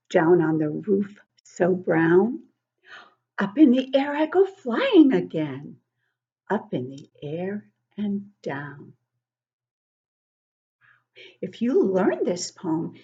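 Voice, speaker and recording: An older woman giving an interactive read-aloud lesson for young children, encouraging and expressive, asking questions and explaining things simply. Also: medium pitch, breathy edge, dull audio. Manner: encouraging, asks questions and pauses to praise answers. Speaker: children's book club teacher reading poems aloud.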